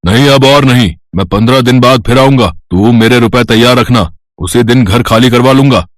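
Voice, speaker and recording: A man's voice: medium pitch, normal speed, dry recording, close to an everyday voice. Man as a stern, demanding landlord, dry sound. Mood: threatening. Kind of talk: animated storybook cartoon dialogue.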